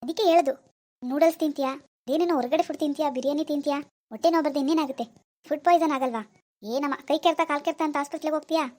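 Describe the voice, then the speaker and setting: Actress as an older mother-in-law, scolding, exasperated, very high voice, rapid talk. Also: darkish tone, lightly acted. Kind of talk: family drama acting.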